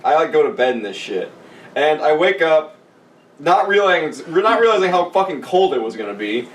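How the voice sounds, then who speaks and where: A man's voice: fairly high pitch, normal speed. Rambling sweary man in an echoey room. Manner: rambling, animated retelling with mimicked dialogue. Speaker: podcast co-host and army veteran telling a story. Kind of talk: casual storytelling among friends, sweary. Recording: roomy echo, distant mic, faint noise.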